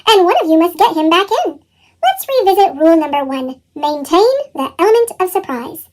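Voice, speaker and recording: A woman voicing a strict male monster teacher in a storybook, formal and scolding, with a chipmunk pitch effect. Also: animated, a little fast. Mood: stern. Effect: chipmunk pitch-shifted voice.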